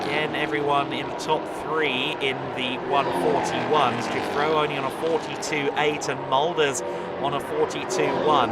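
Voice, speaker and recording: A man giving calm, fluent live play-by-play commentary on a stock car race. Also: medium pitch. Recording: loud race car noise behind. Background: racing engines.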